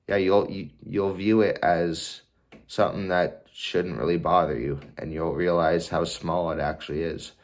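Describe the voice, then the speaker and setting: Very deep-voiced congested young man. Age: 20s. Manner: calm, unhurried, with fillers and restarts. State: congested from a cold. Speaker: vlogger sharing mental health tips. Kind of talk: casual advice talk to camera.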